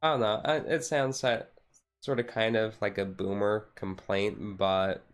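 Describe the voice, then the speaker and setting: Smooth, low-key man rambling on stream. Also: steady tone, unhurried, soft background music. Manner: rambling, hesitant, circles back and repeats phrases. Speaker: vtuber streamer. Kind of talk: casual, chilled-out stream chat.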